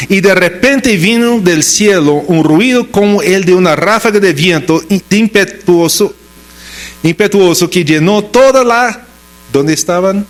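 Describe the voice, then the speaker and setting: This is a man preaching a Sunday sermon in a second language, with an American accent from his native English. His voice sits a little high for a man. He moves between scripture and storytelling, posing questions and answering them with short, repeated phrases for emphasis. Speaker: pastor preaching.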